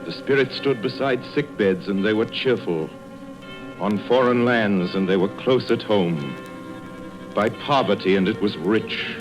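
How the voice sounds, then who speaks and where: A solemn storybook narrator of a Victorian ghost tale, played by a man for radio. The voice is very low, with measured, declamatory literary phrasing over clear background music. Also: lightly acted, low-quality recording. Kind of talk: scripted radio drama narration.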